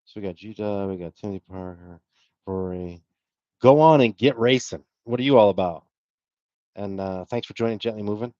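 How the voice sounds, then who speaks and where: A man's voice: on the low side, moderate pace, dark tone, dry recording, muffled recording. A middle-aged man hosting a livestream, chatting casually and rambling as he answers viewer comments. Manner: rambling, self-deprecating, with fillers and laughs. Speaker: livestream host.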